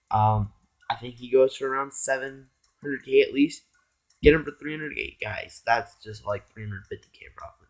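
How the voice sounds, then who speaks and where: Hoarse man chatting over gameplay. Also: medium pitch, rough recording. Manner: rambling, chatty, keeps addressing viewers as guys. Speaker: gaming YouTuber. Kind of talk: casual gameplay commentary.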